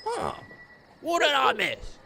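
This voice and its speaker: A man playing an irascible, foul-mouthed gnome alchemist in a fantasy drama, with a gravelly, strained voice that lurches between mutters and outbursts. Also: very high-pitched, wildly swooping pitch, takes it slowly, heavy background noise, heavily acted. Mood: grumpy, hostile.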